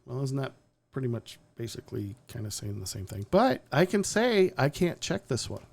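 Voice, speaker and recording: A man's voice: mid-range voice, big pitch swings, normal speed, vocal fry. A man hosting a live-streamed talk show, talking casually and bluntly with sarcastic asides and swearing. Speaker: podcast host. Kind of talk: casual opinionated chat.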